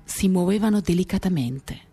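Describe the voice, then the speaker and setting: A woman giving a measured, expressive dramatic reading of a first-person novel, pausing as she tells it. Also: on the low side. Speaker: reader of a literary work. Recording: acoustic guitar music behind the voice. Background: acoustic guitar accompaniment.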